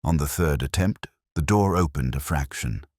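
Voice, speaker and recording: A man playing an ageing, wry, brooding detective inspector who narrates a scripted mystery in measured, literary phrases. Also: extremely low pitch, expressive, darkish tone, husky, no room sound, clean studio sound, heavily acted. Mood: brooding, reflective.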